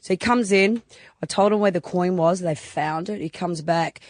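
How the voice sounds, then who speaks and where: A woman raised in Australia, a guest on a radio show, telling animated stories in casual chat. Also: fairly low pitch, normal speed, no echo, clean studio sound. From born in Croatia, raised in Newcastle, Australia. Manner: chatty, animated storyteller.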